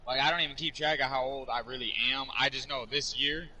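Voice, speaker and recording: A man's voice: medium pitch, steady tone, quick pace, bright, forceful tone, a little hiss or hum, rough recording. A man in his twenties streaming a game, chatting casually and telling rambling, profane stories. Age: 20s. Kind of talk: casual chat while gaming.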